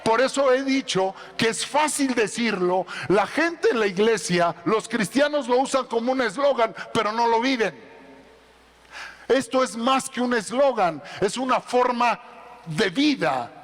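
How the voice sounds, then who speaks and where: Male pastor, sermon, emphatic loud build-ups, high-pitched, echoing church hall. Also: animated, unhurried. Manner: preaching, swelling to loud emphatic peaks.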